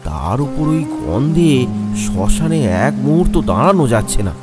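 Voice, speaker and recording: A man's voice: on the high side, very animated, normal speed, over loud music. A man narrating a scripted horror story with dramatic storytelling delivery.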